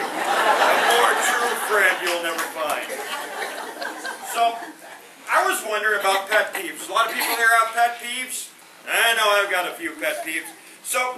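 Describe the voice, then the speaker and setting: A man doing stand-up one-liners and corny puns without a microphone, his punchlines trailing off sheepishly. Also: sits high. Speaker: stand-up comedian. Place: veterans home hall. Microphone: no microphone, far from the recorder. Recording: roomy hall from a distance, noisy.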